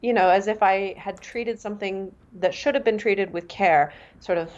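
A Canadian-born woman author, first language Mandarin, speaking in a thoughtful, measured way with fillers and restarts. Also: medium pitch, muffled recording. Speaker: author and guest. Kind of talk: reflective interview talk.

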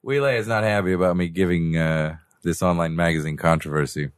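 A man's voice: low voice, a little fast, clear, resonant tone, no echo. A man hosting a casual podcast, joking and laughing often, his voice congested from a bad cold, speaking close to the mic. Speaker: podcast host. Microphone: close studio mic.

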